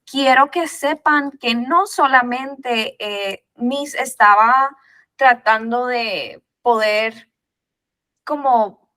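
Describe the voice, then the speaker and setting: A woman hosting a faith podcast, expressive and building to emphatic points as she reflects on the guest's story. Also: on the high side, extremely slow. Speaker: podcast host. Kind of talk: podcast interview, reflective preaching.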